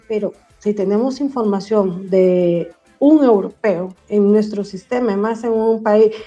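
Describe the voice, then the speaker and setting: A woman's voice: on the high side, warm tone. A woman giving semi-formal expert commentary as a panel speaker, in dull lo-fi audio.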